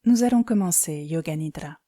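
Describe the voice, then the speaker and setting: A woman leading a guided yoga nidra meditation as an instructor, speaking calmly and soothingly in short phrases with pauses. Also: low voice.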